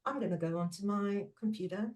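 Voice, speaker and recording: A middle-aged woman whose first language is Portuguese, a guest speaking in a thoughtful, measured way with frequent pauses. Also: mid-range voice, a little mellow. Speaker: guest, trainer and former teacher. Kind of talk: reflective podcast conversation.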